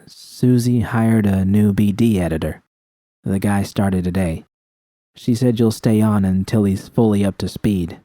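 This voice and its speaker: A man's voice: lowish voice, dark tone, no echo. A man voicing a weary, guarded young woman in audiobook dialogue, subdued and hesitant. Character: weary, guarded young woman, caring but blunt girlfriend.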